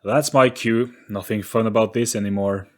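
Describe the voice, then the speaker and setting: A man's voice: on the low side, steady tone, normal speed, close to an everyday voice. A man voicing a smug, sardonic trickster spirit in a storybook audio play, breezy and flippant in manner. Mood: flippant, bored. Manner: breezy, flippant, self-satisfied.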